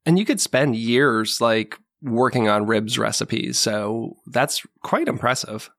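A woman's voice: a bass voice, takes it slowly, no room sound, clean studio sound. An American woman asking questions in a casual interview. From Massachusetts, United States. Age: adult.

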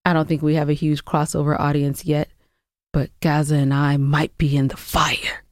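A woman's voice: sits low, flat delivery, moderate pace, no room sound, studio quality. A woman co-hosting a podcast in casual chat, with a teasing, playful manner. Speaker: podcast co-host.

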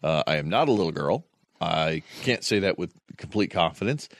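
Low-voiced man in rambling chat. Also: expressive, moderate pace, dry recording, studio quality. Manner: rambling, self-deprecating, frequent restarts. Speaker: podcast co-host. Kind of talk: casual chat.

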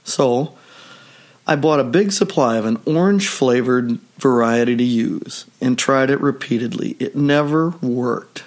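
Hoarse man hosting a podcast. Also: mid-range voice, unhurried. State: hoarse from a cold. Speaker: podcast host. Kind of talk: solo health podcast monologue.

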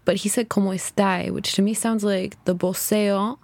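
A woman's voice: medium pitch, steady tone, normal speed, gravelly, darkish tone, dry recording. Chatty young woman, dry close sound. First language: English. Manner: chatty, thinks aloud, many "kind of" and "like" hedges. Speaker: podcast host. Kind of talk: casual solo podcast.

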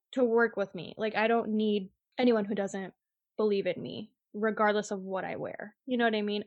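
A woman's voice: fairly high pitch, no room sound, muffled recording. A woman in her twenties, a real estate agent, answering interview questions in a blunt, casual way and acting out quotes as she goes. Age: 20s. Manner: blunt, animated retelling with quoted asides and frequent "like".

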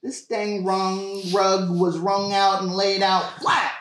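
A man's voice: high voice, little pitch movement, slow, fairly bright tone, voice pushed up. A man voicing a crabby, fed-up archaeology boss with folksy turns of phrase in a comedy sketch table read. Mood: boastful, cranky. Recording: roomy, echoing podcast recording.

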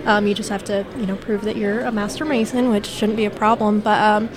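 A woman's voice: medium pitch, level tone, a little fast, a crowd in the background. A woman in her twenties being interviewed, explaining her organization in an informative way with some fillers. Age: 20s. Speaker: youth organization officer. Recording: crowded event hall.

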